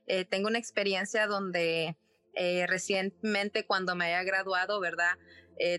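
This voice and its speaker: Woman, 20s, from Nicaragua, podcast guest, earnest, bright tone. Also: medium pitch. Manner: earnest, uses many fillers and tag questions. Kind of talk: personal story in casual chat.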